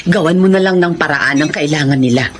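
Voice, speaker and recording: A woman's voice: low-pitched, brisk, a little hiss or hum, lightly acted. An actress playing a proud, firm wife and mother in a radio drama. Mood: insistent.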